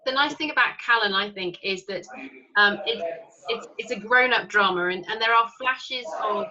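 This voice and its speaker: A woman's voice: mid-range voice, normal speed, dull audio, crowd noise. A woman hosting a casual interview, agreeable and hesitant, often restarting her sentences. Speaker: interview host.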